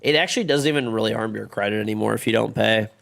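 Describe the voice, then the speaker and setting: A man in his thirties with a gravelly, creaky voice, worn down by congestion and a fading voice. He chats with his stream viewers in a loose, rambling way, dropping swear words freely. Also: on the low side, rapid-fire, a bit bright, a little hiss or hum. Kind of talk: casual chat with stream chat.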